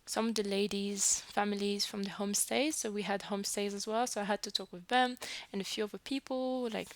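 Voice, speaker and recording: A young woman from New Caledonia talks to her camera in a casual, rambling way, her colouring her speech. Her voice has a gravelly edge, picked up close on a headset mic in a dry, dead room. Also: mid-range voice, steady tone, brisk, dry recording. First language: French. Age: 20s. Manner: chatty, frequent fillers and restarts. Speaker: vlogger. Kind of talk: casual self-introduction to camera.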